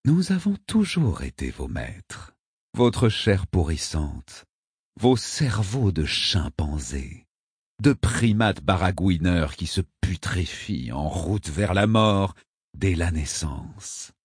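A man's voice: deep voice, very animated, slow, slightly rough, dry recording, studio quality, exaggerated voice. A man voicing a cruel, coldly superior inhuman counselor in an audiobook, with sarcastic, disdainful delivery. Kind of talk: audiobook character acting.

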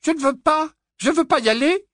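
Guy doing a squeaky bratty girl, sneering and whiny, dry close sound. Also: brisk, pushed and bright, no echo. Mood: whining. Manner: whiny, stammering, scornful. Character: shrill spiteful young girl.

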